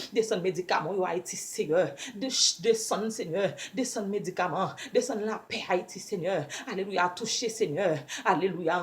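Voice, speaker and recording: A woman with a Haitian accent, a native Creole speaker, leading devotional prayer with repeated invocations and pleading. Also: fairly low pitch. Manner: repetitive pleading with frequent invocations. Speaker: prayer leader. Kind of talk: scripture reading and fervent spoken prayer.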